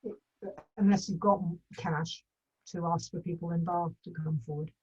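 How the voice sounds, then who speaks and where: A woman's voice: on the low side, fairly even intonation, moderate pace, warm tone, breathy edge. A woman talking casually in a meeting, offering suggestions in a hesitant way. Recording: distant, muffled microphone.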